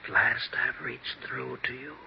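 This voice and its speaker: A low, gravelly brooding ghost. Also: male voice, fairly even intonation, a crowd in the background. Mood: hushed, doomed. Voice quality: hushed, breathy whispering at times. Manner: solemn, slow pleading warnings. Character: brooding ghost of a dead man, insistent and remorseful. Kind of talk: 1940s radio mystery acting. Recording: dull, hissy 1940s radio recording.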